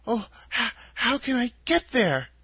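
A storyteller voicing an anxious, lost little girl who pleads to get home, in a raised, breathy voice for a storybook reading. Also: medium pitch, big pitch swings, unhurried, pushed and bright, no room sound, voice pushed up, heavily acted.

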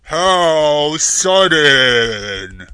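Huge, slow booming wizard voice. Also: male voice, sing-song, bright, forceful tone, clean tone, no room sound, clean studio sound, heavily acted. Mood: startled, stalling. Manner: halting, drawn-out.

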